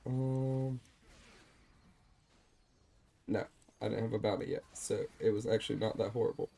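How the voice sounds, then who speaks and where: A man calmly walking through a replay of his own game, explaining his choices, with a slightly stuffed-up voice. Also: on the low side, unhurried, gravelly. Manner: calm, explanatory, self-deprecating. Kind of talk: gameplay commentary over a replay.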